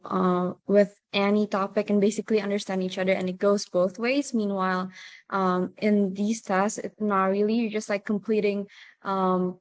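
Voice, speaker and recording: A woman's voice: mid-range voice, no room sound. A woman on a discussion panel, talking hesitantly with many fillers and restarts. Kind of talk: debate-style discussion.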